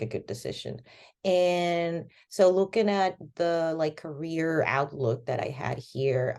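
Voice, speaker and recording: Low, mellow-voiced woman from Venezuela. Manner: rambling, many fillers like "like" and "you know". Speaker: podcast guest. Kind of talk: casual conversational interview.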